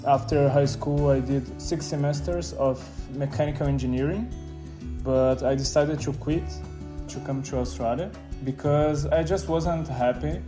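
A man's voice: fairly high pitch, steady tone, measured pace. A man in his twenties from Brazil with a Brazilian accent, casually telling his personal story as an interviewee. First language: Portuguese. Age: 20s. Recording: clear guitar music behind the voice. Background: guitar music.

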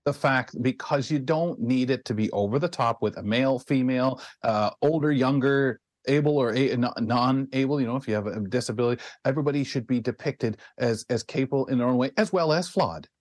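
A man's voice: mid-range voice, fairly quick, hardly any pauses, a little mellow, no echo. A man hosting a talk show, interviewing in a casual conversational style.

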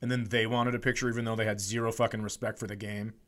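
A man's voice: lowish voice, level tone, quick pace. A man co-hosting a podcast in casual comedic banter, dry and teasing, with some swearing. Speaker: podcast co-host.

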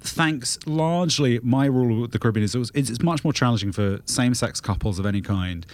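A man guest-hosting a podcast discussion, talking quickly and with animated enthusiasm in a studio. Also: mid-range voice. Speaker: guest host, travel editor.

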